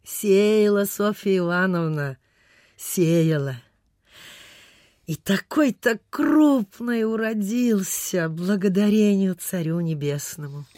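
A woman's voice: mid-range voice, slow pace, warm tone, no room sound, clean studio sound, lightly acted. A woman playing a kindly, forgetful, pious landowner lady in a radio play, with a fussy, sighing way of exclaiming. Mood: kindly, flustered. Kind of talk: Soviet-style radio theatre acting.

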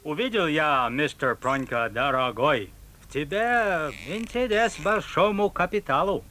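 An actor playing a rich, bossy tourist in a theatrical folk-tale audio play. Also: male voice, on the high side, expressive, a little slow, bright, forceful tone. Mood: bargaining, bossy. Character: rich, bored, bossy American tourist man.

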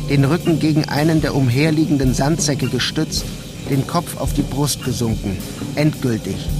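An actor playing a brooding, detached narrator in a literary radio drama, speaking in a measured, sombre way. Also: male voice, medium pitch, close to an everyday voice. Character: brooding, detached man narrating a war-torn city. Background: music behind the voice.

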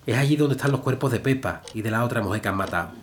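An actor playing a tender, admiring working-class husband in an audio drama. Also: male voice, medium pitch, very fast, close to an everyday voice. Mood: concerned. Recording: clear background noise.

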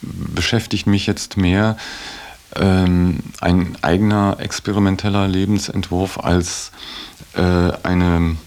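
Deep, slow-spoken, gravelly man. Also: flat delivery, a little mellow. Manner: deliberate, hesitant, earnest, articulate phrasing. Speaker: interviewee in a radio feature. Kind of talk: reflective spoken interview.

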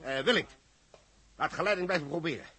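An actor playing an anxious, demanding ground control chief with a husky, sharp voice in a 1960s radio drama. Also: male voice, fairly high pitch, fairly quick, a little hiss or hum. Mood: urgent, worried. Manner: questioning, urgent. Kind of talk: 1960s Dutch science fiction radio drama.

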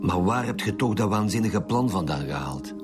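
Casting: male actor as gruff Flemish police chief for radio drama, very low voice, dry and curt, music underneath. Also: animated, moderate pace, natural voice. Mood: dry, stern. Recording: music behind the voice.

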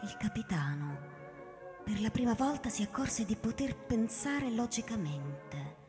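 Expressive woman narrator with lively pitch. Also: unhurried. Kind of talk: dramatic scripted storytelling for radio drama. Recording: reverberant, music underneath.

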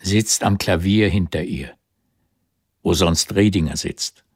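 An actor narrating a historical radio drama in vivid scripted present tense, with a husky voice. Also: male voice, low-pitched, slow pace, no echo, clean studio sound, full character voice. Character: male narrator of a historical story.

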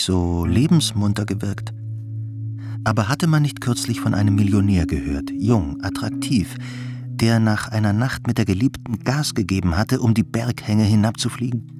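A man narrating a radio play in a scripted, literary style. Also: fairly low pitch. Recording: studio narration with faint music.

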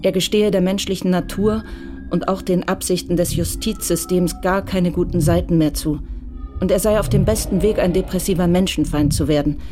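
A woman narrating a radio crime drama in a measured, even storytelling voice. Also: fairly low pitch, over music.

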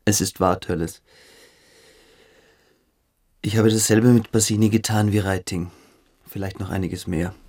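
Brooding schoolboy mystic, low and creaky. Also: male voice, a little mellow. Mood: brooding, earnest. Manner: intense, lecturing, pompous. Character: brooding, fanatical teenage schoolboy mystic philosopher. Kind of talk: radio play acting.

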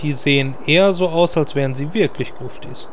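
An actor playing a cocky, sensible party leader in a parody audio drama, dry and matter-of-fact. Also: male voice, a little high, a little fast, slight background noise, lightly acted.

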